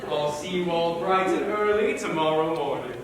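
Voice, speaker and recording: A man's voice: fairly high pitch, lively intonation, normal speed, lightly acted. A man on stage playing a courteous, paternal doctor who runs a strict boarding school, speaking in formal, instructive speeches. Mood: formal, welcoming. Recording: echoey stage, distant mic, faint noise.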